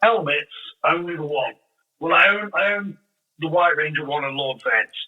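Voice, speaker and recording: A man chatting casually as an interview guest, often halting and correcting himself. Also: on the high side, pushed and bright, dull audio. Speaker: podcast guest, audio drama creator.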